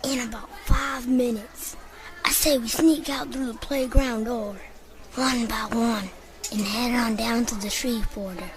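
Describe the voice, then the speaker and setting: A woman's voice: on the high side, steady tone, unhurried, natural voice, low-quality recording. A woman voicing a plucky, scrappy young schoolboy with a folksy way of talking in an audio drama. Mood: scheming.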